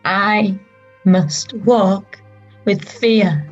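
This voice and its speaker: A woman acting a grieving yet resolute disciple in a dramatised ancient religious text, speaking in a hushed, deliberate way with long pauses. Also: medium pitch, muffled recording, faint music behind. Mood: quiet resolve.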